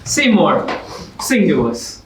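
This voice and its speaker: A man's voice: fairly high pitch, very animated, slow pace. A man playing an upbeat, sassy narrator in a staged musical comedy reading, talking and singing. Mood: teasing, brisk. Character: Oliver, upbeat sassy man, contest survivor turned narrator. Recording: distant echoey stage recording with music.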